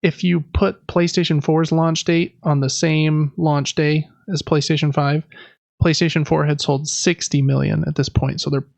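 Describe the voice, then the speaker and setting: A man's voice: a little high, little pitch movement, normal speed, creaky, darkish tone, no echo. A man hosting a podcast, casually recapping news in a conversational way with explanatory asides. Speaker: podcast host.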